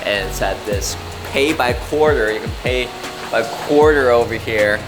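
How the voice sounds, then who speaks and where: A man's voice: mid-range voice, normal speed. A young man from the United States, telling a casual vlog story in an easygoing, joking way. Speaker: vlogger. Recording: music playing underneath.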